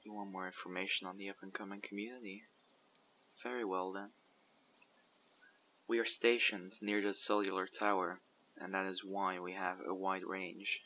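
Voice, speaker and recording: A man voicing a guarded survivor leader who recruits people for a community, asking measured, probing questions in an audio roleplay. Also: sits low, little pitch movement, sharp tone, a little hiss or hum. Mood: calm, probing. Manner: measured, probing, matter-of-fact. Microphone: USB condenser microphone.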